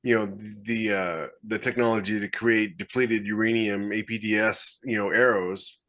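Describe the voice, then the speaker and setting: Lowish-voiced man explaining casually. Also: steady tone, moderate pace, fairly bright tone. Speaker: podcast co-host, science fiction author. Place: indoors, video call.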